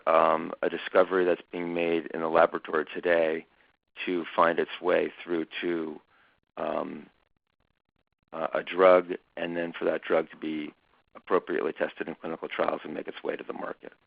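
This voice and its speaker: A man hosting a conversation as foundation chairman, speaking in a measured, hesitant way with many fillers. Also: deep voice, gravelly, dry recording. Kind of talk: conversational webinar talk. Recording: dull lo-fi dry recording.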